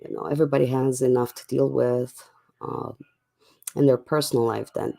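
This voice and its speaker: A livestream host chatting casually and in a laid-back way to viewers late at night, with a voice going hoarse from a cough. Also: mid-range voice, fairly even intonation, moderate pace, warm tone. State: sick with a cough, voice getting hoarse.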